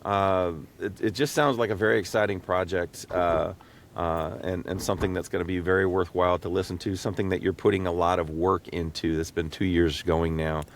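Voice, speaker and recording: A man hosting a casual podcast interview, speaking in a halting, folksy way with frequent restarts. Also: fairly even intonation. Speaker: podcast host. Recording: low rumbling background noise.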